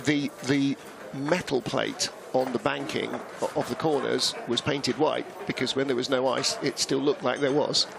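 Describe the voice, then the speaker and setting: A man's voice: mid-range voice, fairly even intonation, normal speed, vocal fry, few pauses. A man with a Welsh accent doing live sports commentary, chatty and anecdotal, laughing easily. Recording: commentary over loud outdoor track noise.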